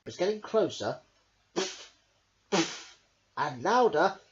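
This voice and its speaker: Slow, sing-song storybook reader. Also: male voice, on the high side, very animated. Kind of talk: reading a picture book aloud. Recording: dull lo-fi recording.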